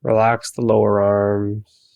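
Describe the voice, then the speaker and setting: Male meditation guide, low and level, unhurried delivery, dry close sound. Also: creaky, smooth, no echo, dull audio. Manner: slow, soft, calm instructions with long pauses.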